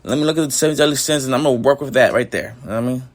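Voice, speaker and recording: A young man with a slightly raspy voice hosts his own casual podcast, thinking out loud into a home mic. He rambles, restarts and leans on fillers, his pitch sitting in an ordinary middle range. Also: racing. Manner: rambling, filler-heavy, keeps saying "you know what I mean". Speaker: podcast host. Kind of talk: casual solo reflection.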